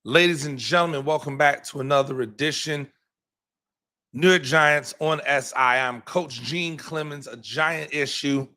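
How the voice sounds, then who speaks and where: A hoarse man hosting a live postgame football reaction show, rambling and repeating words, with many ums. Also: fairly high pitch, a little slow, bright, forceful tone. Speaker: podcast host and high school football coach.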